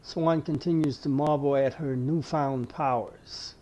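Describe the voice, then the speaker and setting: Mellow male audio drama narrator. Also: a little high, fairly even intonation, unhurried, low-quality recording.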